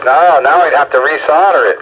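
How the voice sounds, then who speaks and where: A man's voice: on the high side, fairly quick. A man in his sixties with a folksy, colloquial way of talking, chatting casually over a two-way radio. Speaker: radio hobbyist. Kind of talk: casual on-air radio chat. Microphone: stock radio microphone. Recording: dull two-way radio with static.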